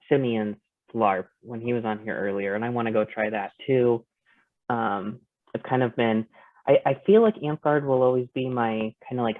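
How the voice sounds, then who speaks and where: A man chatting casually and haltingly over a thin, phone-like connection. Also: fairly low pitch, no echo. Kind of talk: casual chat. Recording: thin phone-like audio, little bandwidth.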